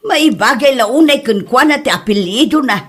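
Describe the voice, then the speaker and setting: A woman's voice: high-pitched, lively intonation, moderate pace, breathy edge. A woman with an Ilocano Filipino accent playing a mocking, giggly mother and auntie in a comedy drama, exclaiming and laughing through her words. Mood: mocking amusement.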